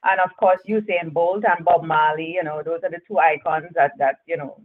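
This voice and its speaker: A woman's voice: low voice, steady tone, brisk. A woman from Trinidad and Tobago, a guest author chatting over the phone, laughing often and repeating her phrases. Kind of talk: casual interview over the phone. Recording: tinny phone line.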